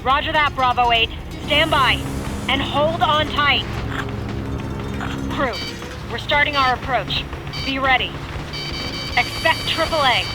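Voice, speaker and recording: Game casting: determined female aircrew commander, high-pitched, crisp and commanding, over loud music. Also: takes it slowly, pauses often. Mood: commanding, focused. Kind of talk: cinematic military game acting, clipped radio commands.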